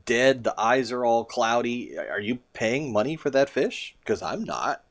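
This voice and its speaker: A man's voice: mid-range voice, expressive, a little slow. A man from Southwest Florida co-hosting a fishing show, explaining things in a plain, reasonable way. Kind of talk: casual chat.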